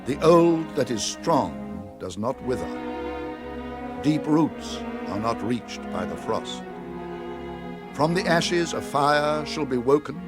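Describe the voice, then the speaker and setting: A man playing a grave, kindly wizard in a radio drama, speaking in a measured, declamatory way. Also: medium pitch, very animated, music in the background. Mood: solemn, incantatory. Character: grave, kindly wizard reading out his letter. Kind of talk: classic radio drama, verse recitation.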